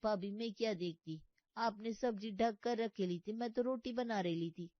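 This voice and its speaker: A woman's voice: mid-range voice, normal speed, no room sound, lo-fi sound, close to an everyday voice. A woman voicing a cheeky, scheming housemaid in a comic story, with slangy, ungrammatical, wheedling talk. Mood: defensive. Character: cheeky, scheming housemaid who talks back. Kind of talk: comic cartoon story voice acting.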